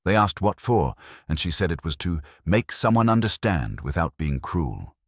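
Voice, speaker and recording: Police sergeant, male, done by a narrator: very deep, earnest, polite, slightly unsure, mystery drama. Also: very animated, moderate pace, no room sound, clean studio sound, exaggerated voice. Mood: earnest reporting. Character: deferential, earnest male police sergeant reporting to his boss.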